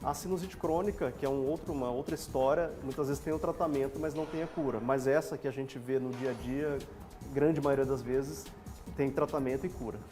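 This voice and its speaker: A man with a Brazilian Portuguese accent, a doctor guest on a TV health show, explaining things calmly in plain lay terms in a studio. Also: mid-range voice, brisk, faint music behind.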